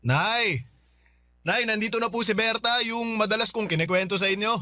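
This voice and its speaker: A man's voice: sits high, moderate pace, pushed and bright. A man playing an earnest, loyal, tender man in a Filipino radio drama. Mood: welcoming, happy.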